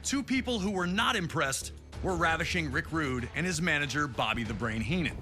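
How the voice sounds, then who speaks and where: A man's voice: a little high, animated, normal speed, sharp tone, faint music behind. A man narrating a wrestling match like a dramatic sports documentary, in an emphatic storytelling manner. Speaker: narrator of a wrestling game showcase.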